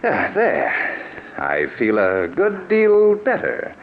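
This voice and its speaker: Scheming, swooping old-radio villain. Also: male voice, medium pitch, huge pitch swings, slow pace, exaggerated voice. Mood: falsely genial. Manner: theatrical, hesitant, insinuating. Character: scheming, smooth-talking male murderer, falsely solicitous. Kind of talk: 1940s radio drama acting. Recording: muffled, noisy vintage radio recording.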